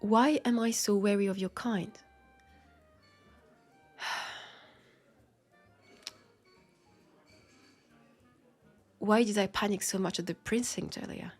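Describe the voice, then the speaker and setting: Actress for wary old vampire detective, female, French accent, husky breathy voice, pensive roleplay delivery over background music. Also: medium pitch. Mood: reluctant, uneasy. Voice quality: breathy, soft. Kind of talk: intimate scripted audio roleplay, addressed to listener. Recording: music under the voice.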